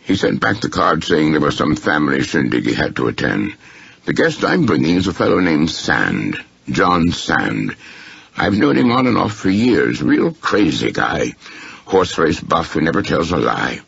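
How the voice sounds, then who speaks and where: A man voicing a chatty, gravelly, excitable artist. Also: very deep voice, moderate pace, dull audio, heavily acted. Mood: breezy. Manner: chatty, eager. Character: breezy, excitable male artist hosting a club dinner.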